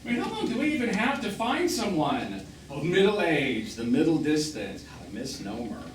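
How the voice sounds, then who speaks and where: A man's voice: a little high, wildly swooping pitch, moderate pace. Wounded, bitter middle-aged man, distant and echoey. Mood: wounded, scornful. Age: 50s. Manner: theatrical, sarcastic, emphatic. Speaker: stage actor. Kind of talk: live stage drama acting. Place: small theatre, recorded from the audience. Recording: echoey, noisy stage recording from far off.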